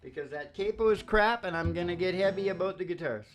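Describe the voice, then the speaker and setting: A man's voice: sits high, quick pace. A man hosting a live radio show with rambling, folksy, playful chat, often repeating his phrases. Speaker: radio show host and singer. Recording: guitar music behind the voice. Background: acoustic guitar.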